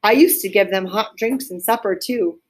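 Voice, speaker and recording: A woman's voice: medium pitch, moderate pace, background noise. A woman reading a children's story aloud, with casual explanations for young listeners along the way.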